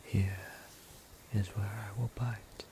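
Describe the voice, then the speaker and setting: Guy doing a soft whispery vampire boyfriend, lowish and pretty flat, gentle formal old-timey talk, audio roleplay. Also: takes it slowly, noisy background. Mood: soothing, intimate. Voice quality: breathy whispering. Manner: formal, old-fashioned, hushed and careful speech. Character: gentle, courteous male vampire, tender lover.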